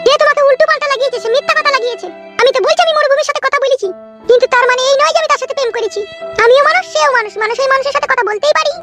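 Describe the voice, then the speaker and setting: A woman's voice: extremely high pitch, very fast, fairly bright tone, soft background music. A woman voicing an indignant, preachy village mother-in-law in a cartoon, with a scolding, insistent way of talking. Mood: defensive, earnest. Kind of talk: Bengali cartoon acting.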